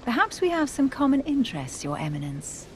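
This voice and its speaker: A woman's voice: high-pitched, sing-song, a little fast, a little hiss or hum. A woman voicing a poised, aristocratic duchess in a video game, formal and refined. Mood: amused, gracious. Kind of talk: video game cutscene acting.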